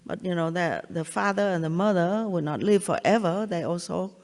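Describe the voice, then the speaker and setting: An older woman from Vietnam with a Vietnamese accent, giving a spiritual talk in a halting way, often repeating herself and checking in with listeners. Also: on the low side, darkish tone. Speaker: spiritual teacher. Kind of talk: satsang talk. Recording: long hall reverb.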